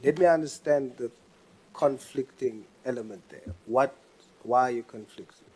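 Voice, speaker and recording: A man's voice: medium pitch, unhurried, mellow, slightly rough, poor audio. Insistent man pressing a witness. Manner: pressing, insistent, repeats his question. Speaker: committee member questioning a witness. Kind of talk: parliamentary questioning.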